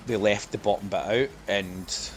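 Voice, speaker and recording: A man's voice: on the low side, normal speed. A man co-hosting a casual gaming podcast, chatting informally. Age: adult, parent of young children. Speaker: podcast co-host. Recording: steady background noise.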